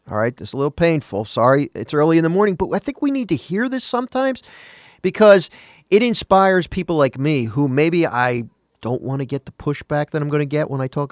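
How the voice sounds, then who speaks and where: A man's voice: on the high side, dry recording, clean studio sound. Earnest man talking on morning radio. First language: not Spanish. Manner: earnest, conversational, self-correcting, asks listeners questions.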